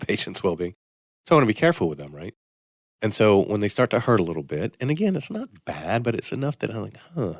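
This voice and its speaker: An older male surgeon talks through a studio mic on his weekend radio show, his voice sitting very low and dry. He rambles, restarts and pokes fun at himself, with a faint sniffle. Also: dry recording. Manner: rambling, self-deprecating, conversational with restarts. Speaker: orthopedic surgeon radio host. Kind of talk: casual radio health talk.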